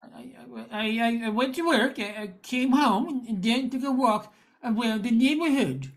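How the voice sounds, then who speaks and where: Older woman acting as befuddled middle-aged man, gentle, naive, very slow, high-pitched, hesitant stammer, amateur radio play. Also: lightly acted. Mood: gentle, wistful. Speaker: amateur radio drama actor.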